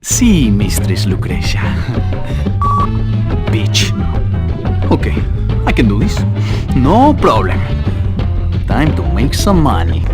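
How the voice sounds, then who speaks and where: A man's voice: fairly low pitch, huge pitch swings, slow, long pauses, exaggerated voice. A man playing a cowardly, fawning street gangster, servile and stammering, in a game cutscene. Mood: nervous. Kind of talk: dramatic video game cutscene acting. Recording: background music under the voice.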